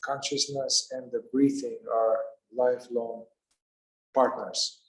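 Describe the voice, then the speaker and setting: Guy doing guided meditation, calm, normal pitch, lots of pauses, echoey room. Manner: calm, unhurried, with long pauses between short phrases.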